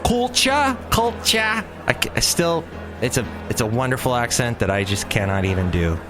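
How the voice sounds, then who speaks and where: Man, podcast host, rambling, jokey, mid pitch, music underneath. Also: very animated, normal speed. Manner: rambling, jokey, playful asides. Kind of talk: casual solo podcast monologue.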